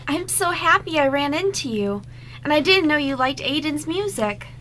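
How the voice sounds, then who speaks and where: A woman's voice: high-pitched, animated, normal speed, pushed and bright. A woman voicing a cheerful, eager young girl in an amateur radio play. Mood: happy, eager. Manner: perky, eager. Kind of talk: amateur radio script reading.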